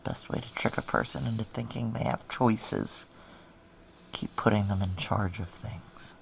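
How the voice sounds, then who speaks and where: Gravelly, weary American military woman. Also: very deep voice, a little slow, a little hiss or hum, exaggerated voice. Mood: weary, resigned. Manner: wry, blunt, intimate. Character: weary, blunt career military woman in forbidden love. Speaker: actor in audio drama. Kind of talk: naturalistic noir audio drama dialogue.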